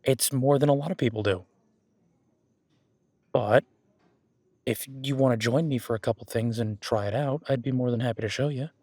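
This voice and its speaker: A man's voice: medium pitch, normal speed, pauses often, a little mellow, no room sound, natural voice. A man playing a friendly, easygoing werewolf boyfriend in a conversational audio roleplay, reassuring and rambling as he corrects himself. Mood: encouraging, casual. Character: friendly male werewolf gym-goer, easygoing supportive boyfriend. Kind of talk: conversational one-sided roleplay acting.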